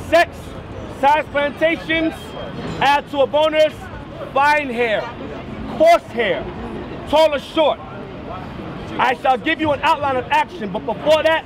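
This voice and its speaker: A man preaching outdoors, reading a text aloud and breaking in to explain it. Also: extremely high pitch. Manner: reads, then breaks in with explanations. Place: busy city street outdoors. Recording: distant voice with street crowd.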